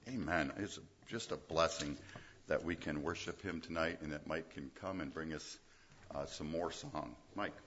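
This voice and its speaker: Very deep-voiced man introducing a singer. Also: moderate pace, a bit bright. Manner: brief, reverent introduction. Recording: muffled with faint noise.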